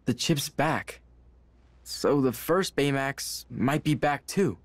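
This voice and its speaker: A man's voice: medium pitch, slow, no echo. A man playing an earnest, brainy teenage boy hero in a video game, with an emotional manner. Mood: wistful.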